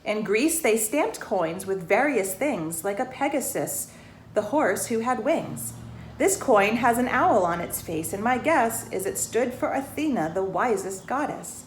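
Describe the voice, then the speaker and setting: A woman reading a rhyming children's storybook aloud in an expressive way, pausing to put questions to the young listeners. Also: on the high side. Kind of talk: children's storybook read-aloud, rhymed verse with asides to listeners. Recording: roomy echo, faint background noise.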